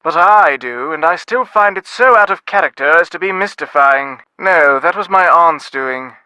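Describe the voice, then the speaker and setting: Pompous English gentleman, bright crisp voice. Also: fairly high pitch, expressive, normal speed, dry recording, poor audio. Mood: wry, reflective. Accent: British. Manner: pompous, wordy, dryly sardonic. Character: snobbish, dismissive middle-aged English father of aristocratic family. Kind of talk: scripted video game dialogue.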